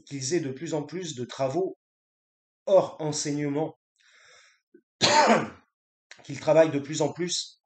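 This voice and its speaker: Indignant male vlogger ranting off the cuff, restarts often, mid-pitched voice, roomy echo. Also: a little mellow. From grew up in France from age three. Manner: indignant, repetitive, many restarts and fillers. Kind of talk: unscripted political rant.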